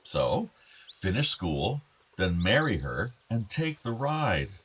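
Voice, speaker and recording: Deep, bookish older father. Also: big pitch swings, slow pace, fairly bright tone, slight background noise, heavily acted. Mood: urging. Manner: bookish, formal phrasing. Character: older father, wistful, meddling, urging his son to marry. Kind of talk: naturalistic radio play acting.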